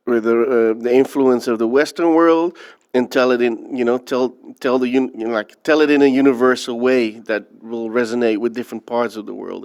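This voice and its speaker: A man's voice: medium pitch, a little mellow, clear, resonant tone. A man from the Netherlands, a filmmaker guest on an interview, talking in an earnest, reflective storytelling way. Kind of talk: interview conversation.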